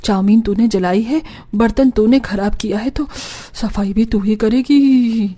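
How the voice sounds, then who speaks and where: Casting: actress as commanding older mother-in-law, blunt and scolding, mellow tone, family cartoon. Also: on the high side, unhurried. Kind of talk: Hindi cartoon story dialogue.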